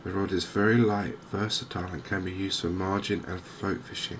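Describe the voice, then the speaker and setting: A man softly narrating a game in ASMR style, hushed and hesitantly thinking aloud. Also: sits low, steady tone, a little fast. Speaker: gaming video host. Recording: noticeable background ambience noise. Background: game ambience.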